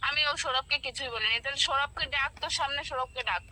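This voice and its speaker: Sharp, high-pitched, indignant woman. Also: fast, slight background noise. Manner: indignant, defensive, repetitive. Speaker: friend receiving a prank call. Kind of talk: heated informal phone argument.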